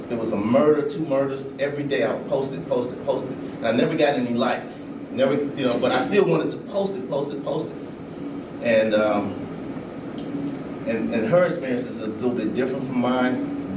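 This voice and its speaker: A man's voice: medium pitch, normal speed, noisy background. Distant man talking in an echoey room. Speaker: panel guest. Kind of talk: informal panel talk.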